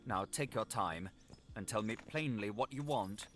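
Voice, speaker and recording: A man voicing a brisk, commanding gentleman lawyer in an audiobook, concerned and questioning. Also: lowish voice, soft background music. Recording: heavy vehicle noise over voice.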